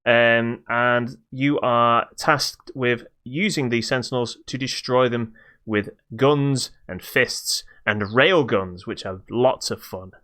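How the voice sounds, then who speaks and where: Guy on a gaming podcast, really clean smooth voice, mid pitch, chatty and jokey. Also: takes it slowly, pushed and bright. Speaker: podcast co-host. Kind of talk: casual chat about games.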